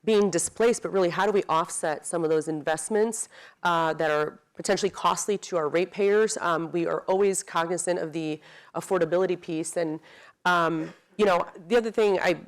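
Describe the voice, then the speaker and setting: A woman speaking as a utility executive on a business panel, measured and careful, with frequent "um" fillers. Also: fairly low pitch. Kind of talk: panel discussion.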